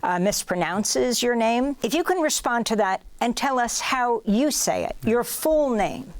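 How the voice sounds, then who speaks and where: A woman's voice: a little high, lively intonation, a little slow, no echo. A woman interviewing a guest as a news host, putting questions in a broadcast style.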